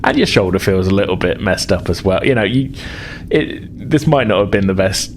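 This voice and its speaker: A man with a low voice runs a tabletop role-playing game. He narrates conversationally, pausing and hedging as he builds each scene. There is audible background noise on the recording. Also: animated. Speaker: game master.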